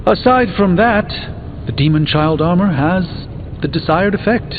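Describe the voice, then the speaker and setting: Pompous, precise sorcerer, thin muffled audio. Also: male voice, sits high, measured pace, a little hiss or hum. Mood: grave, deadpan. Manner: formal, precise, deadpan. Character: pompous middle-aged male sorcerer, dry, haughty and learned. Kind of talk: video game voice acting. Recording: muffled phone-like audio under loud music.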